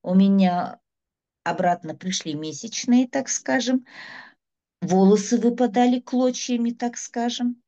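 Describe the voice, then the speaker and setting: A woman's voice: on the high side, unhurried, dark tone. A middle-aged woman, giving a chatty, rambling personal testimonial about her health. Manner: chatty, rambling, listing ailments. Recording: dull lo-fi sound.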